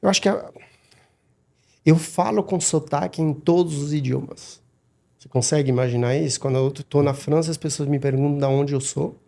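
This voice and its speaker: A middle-aged man, a guest on a podcast, talking casually and haltingly with many restarts and repeated words. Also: a little high, gravelly, dark tone. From Madagascar. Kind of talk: casual interview talk.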